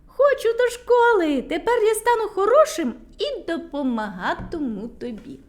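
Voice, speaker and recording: A cheeky, eager wooden puppet boy from a storybook, as a woman puts him on, squealing high and bright. His voice leaps about, lively and very high. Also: moderate pace. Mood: excited.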